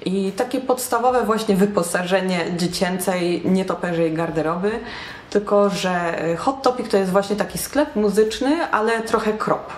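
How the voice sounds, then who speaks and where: A woman's voice: medium pitch, normal speed, slight background noise. Chatty young woman in a roomy room. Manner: chatty, self-mocking, with English slang. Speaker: alternative fashion vlogger. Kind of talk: casual talk to camera. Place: small bright room indoors. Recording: roomy echo.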